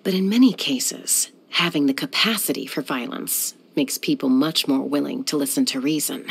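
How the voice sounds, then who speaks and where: An actress voicing a cool, confident young woman who is a sky-hunter and peacemaker in a video game, speaking in a calm, composed, measured way. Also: low voice, creaky, slightly rough, heavily acted. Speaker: game character.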